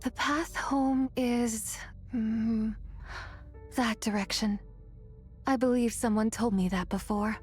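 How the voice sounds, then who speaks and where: A woman voicing a lonely, forgetful, gentle ancient moon spirit in a video game, hesitant and dreamy. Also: fairly high pitch, fairly even intonation, slightly rough, faint music behind. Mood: resigned, kindly. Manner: slow, hesitant, dreamy, breaking into whispers.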